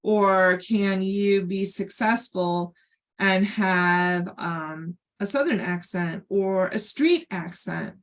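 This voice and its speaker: A woman with a General American accent, talking in a measured, explanatory way as a co-host in a casual podcast conversation. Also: mid-range voice. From United States, Ohio.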